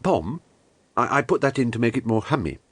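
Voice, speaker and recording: A man's voice: medium pitch, very animated, fairly quick, over music, close to an everyday voice. A British man playing a simple, good-natured, musing toy bear in a dramatized children's audiobook, with a bumbling, singsong manner. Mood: earnest explaining.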